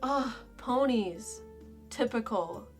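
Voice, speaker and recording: Gal doing a snippy dragon girl, high voice, slow sarcastic delivery, music behind her, audio story. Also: expressive. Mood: resentful. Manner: snide, impatient, scoffing. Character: sarcastic, irritable young female dragon warrior. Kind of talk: fan fiction audio drama acting.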